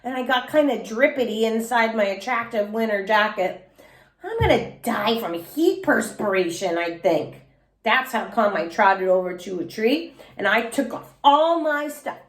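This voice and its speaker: A woman reads a children's story aloud like a teacher. Her voice is high and highly animated, with expressive phrasing. The room around her is noticeably echoey. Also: unhurried.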